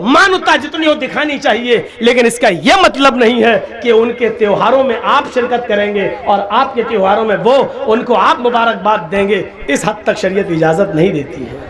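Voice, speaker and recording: High-voiced man preaching with fervor. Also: expressive, a crowd in the background. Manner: impassioned, often shouting, recites Arabic prayers slowly. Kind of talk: Islamic sermon.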